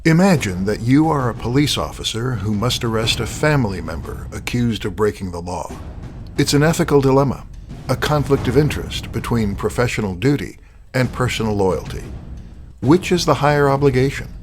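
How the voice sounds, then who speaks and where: A man hosting a drama anthology with a measured, scripted introduction. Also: medium pitch, lively intonation, slight background noise. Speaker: host.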